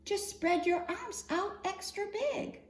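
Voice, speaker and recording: A woman reading a picture book aloud for young children in an expressive, singsong storytime manner, often posing questions to her listeners. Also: very high-pitched, slow pace. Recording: roomy echo, faint background noise.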